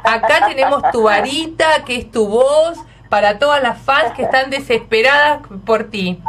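A woman's voice: high-pitched, moderate pace, a bit bright. A woman with an Argentine accent hosting a casual radio interview and reading out messages from listeners. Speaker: radio host.

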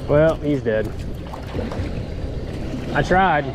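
A young man fishing on a boat, calling out excitedly as the fish bite, with stretches of relaxed narration about the trip. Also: fairly high pitch. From United States. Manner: excited, quick exclamations while fishing. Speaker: fishing vlogger. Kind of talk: casual fishing commentary and voice-over. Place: out on a boat on the open water. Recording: wind noise on an outdoor boat mic.

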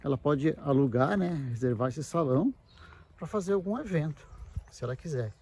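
A man's voice: a little high, expressive, normal speed, a little mellow, slightly rough. A man giving a casual, explanatory walking tour as a camping vlogger, speaking conversationally into a handheld mic. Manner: explanatory, conversational with frequent check-in tags. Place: outdoors at a wooded campground. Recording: noisy outdoor handheld recording.

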